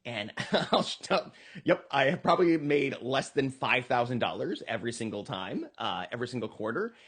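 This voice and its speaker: An American man gives a conversational campaign pitch as a podcast guest. His pitch moves around animatedly and his voice has a slightly rough, husky grain. He explains things earnestly and with emphasis. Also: lively intonation, normal speed, a bit bright. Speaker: political candidate being interviewed.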